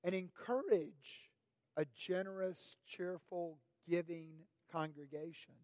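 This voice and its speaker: A middle-aged man preaching a sermon as pastor, his voice raspy this morning, speaking in a measured, deliberate way and lifting his voice on questions. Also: on the high side, fairly even intonation, darkish tone, no room sound.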